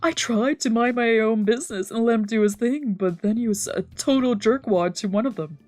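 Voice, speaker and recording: A woman voicing an emotional, exasperated young man from a visual novel, scolding and pleading in an animated, emphatic way. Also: a little high, brisk, no echo, soft background music, close to an everyday voice. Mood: indignant. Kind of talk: expressive read-aloud dub of a visual novel.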